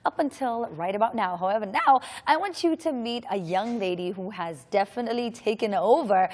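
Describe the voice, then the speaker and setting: A woman's voice: mid-range voice, a little fast, a little mellow. A woman with a Kenyan accent hosting a casual morning TV interview, chatty and teasing, with exclaimed reactions. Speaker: TV show host. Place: TV studio.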